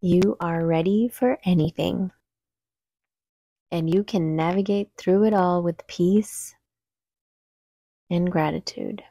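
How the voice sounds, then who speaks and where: A woman leading a guided meditation with calm, soothing, unhurried instruction. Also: fairly low pitch, no echo, low-quality recording.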